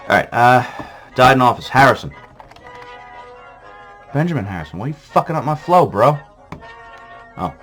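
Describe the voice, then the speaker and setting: A man's voice: medium pitch, very animated, moderate pace, pauses often, a bit bright, faint music behind. A man hosting a comedic history vlog, rambling and swearing through a list of presidents with lots of fillers, wired on coffee. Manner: rambling, profane, full of fillers. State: jittery from coffee. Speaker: vlog host. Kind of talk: irreverent comedic history rundown.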